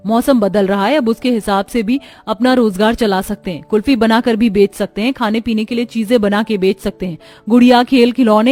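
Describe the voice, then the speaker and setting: A woman's voice: fairly high pitch, darkish tone, close to an everyday voice. A woman playing a kind, educated young village daughter-in-law in a cartoon, speaking patiently and encouragingly.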